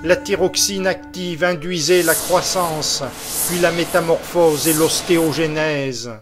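Man, slightly high voice, solemn epic poem declamation, slow and measured, clear music behind. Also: fairly even intonation.

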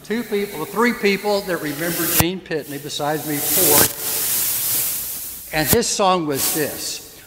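A man's voice: a little high, unhurried. A man in his seventies, a priest giving a sermon and telling stories, often turning to the congregation with questions. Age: 70s. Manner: gentle storytelling preacher who asks the congregation questions. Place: church. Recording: echoey church hall with hiss.

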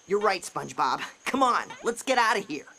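A man's voice: high-pitched, big pitch swings, normal speed, vocal fry, very noisy, exaggerated voice. An actor playing an upbeat, heroic teenage ghost boy in a cartoon game. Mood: confident.

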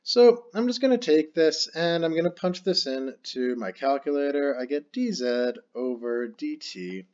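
A man explains a math problem step by step as he writes it out on screen. His voice sits fairly high and moves a lot in pitch. The recording sounds a little dull and lo-fi, like a computer mic. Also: animated, normal speed, few pauses, a little mellow. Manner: explains step by step while writing. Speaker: math teacher.

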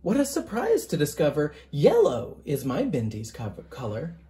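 A teacher reading a children's picture book aloud in a gentle, deliberate, expressive sing-song way. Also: mid-range voice.

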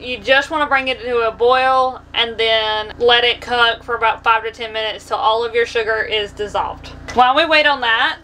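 An American woman in her twenties hosts a casual home cooking vlog. Her voice sits on the high side and is notably bright and forward. She is recorded on a camera in a small kitchen, with light noise behind her. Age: 20s. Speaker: vlog host. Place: small camper kitchen, indoors.

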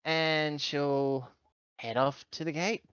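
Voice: mid-range voice, fairly even intonation, slow pace, fairly bright tone, clean tone, no room sound, muffled recording. A player in a tabletop roleplaying game, casually narrating and speaking for their character.

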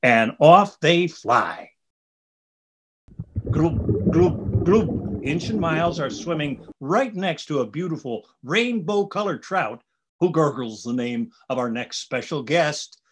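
A man narrating a children's storybook in a playful, expressive, scripted style. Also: on the high side, slow, noisy background.

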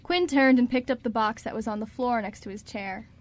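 A woman's voice: on the high side, fairly quick. A woman narrating a scripted story for a radio drama.

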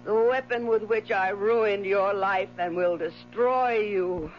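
Actress as slow, venomous dying baroness. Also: female voice, mid-range voice, faint music behind, natural voice. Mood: menacing, dying. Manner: theatrical, taunting, drawn-out delivery. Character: bitter dying baroness, jealous, venomous, haunting wife. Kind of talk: 1970s radio drama acting. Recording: dull 1970s radio recording with hum.

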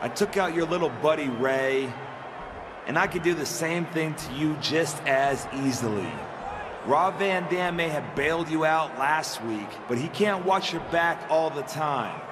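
An actor playing an arrogant, obsessive young wrestling villain in a video game, taunting and menacing. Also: male voice, a little high, expressive, a little slow, noisy background. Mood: smug, threatening. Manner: taunting, menacing promo delivery.

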